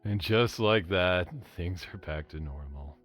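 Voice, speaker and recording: A man acts out a concerned father in an audio drama. His voice is extremely deep, and he observes his family closely. Faint music sits behind it. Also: unhurried, a bit bright. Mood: wry relief.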